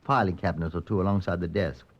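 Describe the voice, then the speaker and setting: An actor playing a dry, steady chief inspector in a 1950s radio crime drama, clipped and understated. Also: male voice, sits low, fast, vocal fry. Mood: measured narration. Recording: muffled, hissy old-time radio recording.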